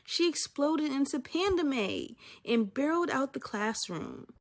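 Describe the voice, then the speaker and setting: Woman reading a storybook softly. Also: high voice, animated, measured pace. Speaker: teacher. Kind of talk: storybook read-aloud.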